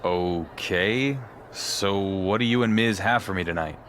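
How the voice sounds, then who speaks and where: A man playing a wary, defiant pro wrestling champion in a video game, speaking in a dry, deliberate way. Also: sits low, fairly bright tone, a little hiss or hum.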